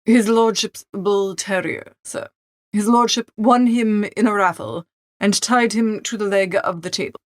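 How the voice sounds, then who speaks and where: A woman voicing an unflappable male valet in a storybook reading, coolly deferential, formal and measured. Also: medium pitch, fairly even intonation, no room sound, close to an everyday voice. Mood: calm, deferential.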